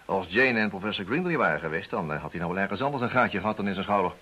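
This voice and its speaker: An actor playing a brisk, shrewd, insistent detective with a husky voice in a radio play. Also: male voice, sits low, expressive, bright, forceful tone, highs cut off, heavily acted. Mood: explaining.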